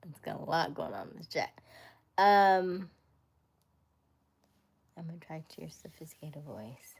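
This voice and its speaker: A woman hosting a casual live stream, chatting playfully with viewers, laughing often and dropping to a soft whisper as she reads comments. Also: low-pitched, sing-song, slow pace, long pauses, husky. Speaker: livestream host.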